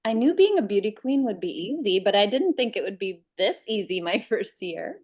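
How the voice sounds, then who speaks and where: A woman's voice: medium pitch, sing-song, normal speed, darkish tone, breathy edge. A woman playing a smug, gushing eighteen-year-old pageant winner at a script table read. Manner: bragging, gushing.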